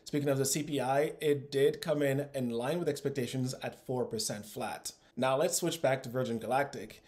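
A man's voice: medium pitch, steady tone, moderate pace, a little mellow. Upbeat man presenting to camera. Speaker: host of an investing channel. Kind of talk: scripted video commentary to camera. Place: small room at home, indoors.

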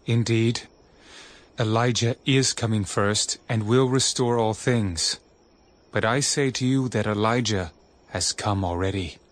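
An actor playing Jesus as a solemn, authoritative teacher, speaking in a measured way in a dramatized scripture reading. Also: male voice, on the low side, close to an everyday voice. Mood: solemn, calm. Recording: music behind the voice.

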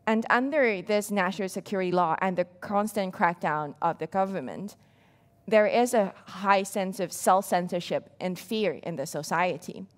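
A young woman from Hong Kong, an activist on a panel, giving a prepared, earnest and measured speech from a dark stage. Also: medium pitch. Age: young adult. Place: dark stage, seated at a small table.

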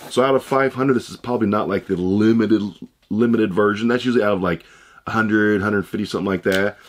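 A man in his thirties with a hoarse voice he says he is losing, chatting casually as he unboxes items at a desk indoors. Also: lowish voice, fairly quick. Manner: chatty, rambling, upbeat. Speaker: unboxing channel host. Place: room with shelves of shoe boxes, indoors.

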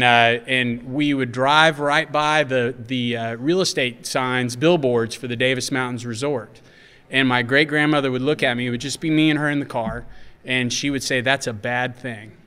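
Halting man at a podium, reverberant hall. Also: mid-range voice, bright, forceful tone, clear, resonant tone. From West Texas. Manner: halting, many restarts. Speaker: panel moderator. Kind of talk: introducing a panel. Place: hotel conference room. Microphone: podium mic.

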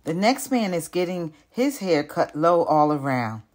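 An older woman, a retired teacher, reading a picture book aloud in careful, deliberate narration. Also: lowish voice, big pitch swings, darkish tone.

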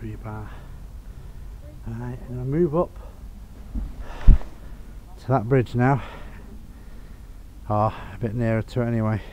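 A man's voice: fairly even intonation, slow pace, long pauses, a little mellow, muffled recording. A man in his forties with a Liverpool accent, talking casually to the camera as he magnet fishes on a canal towpath, often muttering to himself. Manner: muttering low and offhand to himself. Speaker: magnet fishing vlogger. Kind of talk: casual running commentary. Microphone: handheld camera or chest camera. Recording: outdoor wind and background noise.

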